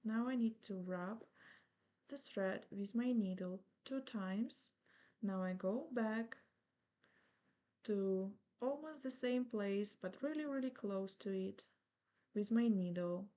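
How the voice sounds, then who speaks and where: A woman from Ukraine in her thirties, speaking English with a Ukrainian accent as she patiently talks through an embroidery tutorial step by step. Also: medium pitch. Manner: slow, patient, gently reassuring instruction. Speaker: embroidery teacher.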